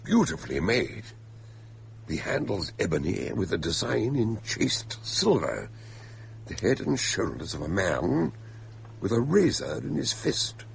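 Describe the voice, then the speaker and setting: Husky old detective doctor, radio play, gravelly n theatrical, swoops all over the place. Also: male voice, on the low side, very animated, unhurried, slight background noise, heavily acted. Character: elderly eccentric detective doctor, genial storyteller. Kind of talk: radio drama acting and narration.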